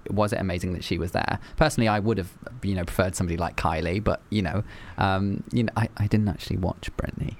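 A man in a casual podcast chat, eager and quick to agree. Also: sits low, fairly even intonation, slight background noise. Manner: eager, agreeable, fast and restless delivery.

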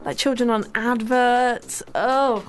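A woman's voice: a little high, steady tone, takes it slowly. A woman, co-hosting a radio show in casual, playful banter. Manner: playful, laughs easily. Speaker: radio co-host.

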